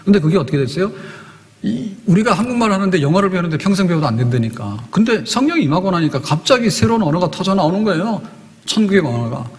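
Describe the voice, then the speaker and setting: A man's voice: high-pitched, very animated, quick pace. A man preaching a Sunday sermon as pastor, emphatic and often asking the congregation questions. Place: church sanctuary. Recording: long church reverb.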